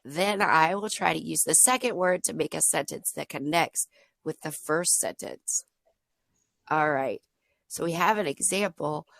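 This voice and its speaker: A slightly hoarse woman leads an online English lesson for a child, modeling each sentence slowly and clearly. Her voice has a gravelly, creaky texture. It sits close and dry, as if picked up by a video-call microphone. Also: low voice, fairly bright tone, no room sound. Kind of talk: patient teaching over a video lesson.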